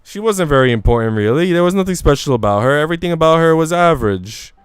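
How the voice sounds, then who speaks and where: A man reading a visual novel's young housemaid, who tells her story in a halting, self-deprecating way. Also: a little high, animated, clear, resonant tone, no echo, voice pushed up, close to an everyday voice. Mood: wistful, self-deprecating. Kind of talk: visual novel dialogue read aloud.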